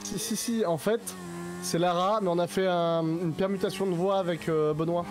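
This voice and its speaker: A woman's voice: fairly low pitch, fairly even intonation, normal speed, background noise. A woman improvising casual in-character dialogue in an online roleplay game.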